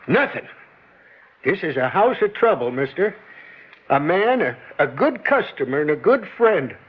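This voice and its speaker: An actor playing a gruff, anxious old diner owner and grandfather in a 1950s radio drama. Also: sits high. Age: older. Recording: dull old radio recording.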